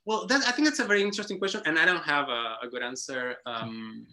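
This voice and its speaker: A man's voice: fairly high pitch, fast, bright, forceful tone. A man speaking in an academic round-table discussion, thinking aloud with frequent restarts and a questioning "no?" tag. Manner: hesitant, many restarts and tag "no?". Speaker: guest speaker. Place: online round-table call.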